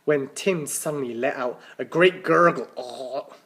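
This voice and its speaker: A man reading a children's story aloud as a teacher, with animated, dramatic emphasis and the odd stumble over words. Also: fairly high pitch, moderate pace. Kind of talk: expressive children's storybook narration.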